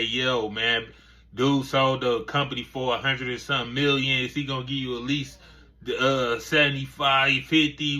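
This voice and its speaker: A man's voice: medium pitch, sharp tone. A man hosting a casual commentary video, rambling with lots of filler phrases. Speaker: commentary channel host.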